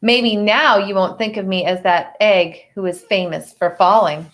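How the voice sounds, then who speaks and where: A woman reading a picture book aloud to children, expressively and with dramatic pauses, adding explanatory asides in a teacher's manner. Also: mid-range voice, fairly even intonation, a bit bright.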